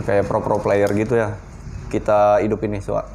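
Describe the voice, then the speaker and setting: Low-voiced man, casual slang. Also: completely flat delivery, moderate pace, creaky, a little mellow, a little hiss or hum. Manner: informal, slangy, talking through a hands-on demonstration. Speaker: product reviewer. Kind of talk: casual unscripted product review.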